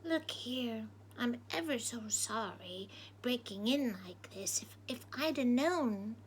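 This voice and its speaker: A woman's voice: fairly high pitch, expressive, slow pace, slight background noise, poor audio. A woman voicing a cheeky, polite woman burglar in a storybook reading, chatty and genteel.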